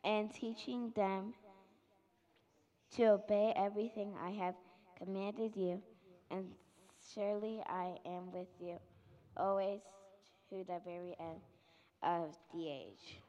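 A young reader reading scripture aloud slowly and carefully. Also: female voice, mid-range voice, fairly even intonation, warm tone. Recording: dull sound, long hall reverb.

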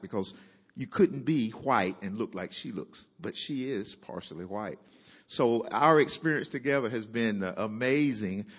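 Lively-voiced man from North Carolina. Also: medium pitch, slightly rough. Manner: animated, jokey storytelling. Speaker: panelist, pastor. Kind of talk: panel introduction.

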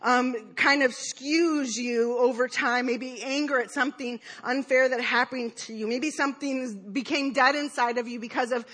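A woman's voice: high voice, steady tone, moderate pace, hardly any pauses, fairly bright tone. A woman in her forties giving a chatty, conversational sermon, self-deprecating and playful, acting out quoted thoughts. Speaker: preacher.

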